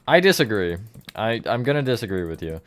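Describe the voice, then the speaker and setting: A man's voice: on the low side, sing-song, fairly quick. A man streamer chatting casually with his viewers, counting blocks and answering chat while he builds.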